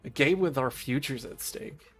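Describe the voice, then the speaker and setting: A man streaming a visual novel, reading the game text aloud in a formal, measured storytelling style. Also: medium pitch, fairly bright tone. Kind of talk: dramatic read-aloud narration of game text. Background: video game music.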